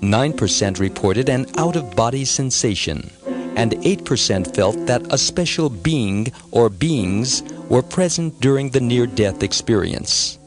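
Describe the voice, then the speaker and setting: A man narrates an audiobook from a script, with music clearly playing behind him. His voice sits at a medium pitch. The delivery is measured and formal, with a reverent manner. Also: animated.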